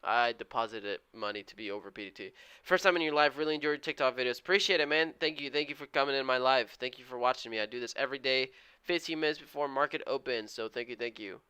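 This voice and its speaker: Bright, crisp-voiced young streamer guy. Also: medium pitch, fast talker, no echo. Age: 20s. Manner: upbeat and friendly, slangy, thanks viewers often. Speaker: live-stream day trader. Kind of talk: casual live-stream chat, reading viewer comments.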